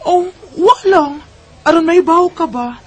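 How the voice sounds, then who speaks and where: An actress playing an excitable, gossipy young female classmate in a Bisaya radio drama from the Philippines, exclaiming and teasing. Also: very high voice, a little slow. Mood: excited, teasing. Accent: Filipino, Bisaya. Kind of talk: Filipino radio drama acting.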